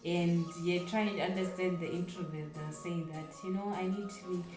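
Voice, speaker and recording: Low-voiced woman chatting over music, echoey room. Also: steady tone, normal speed. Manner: responsive, agreeing with short interjections. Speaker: conversation partner. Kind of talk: casual chat.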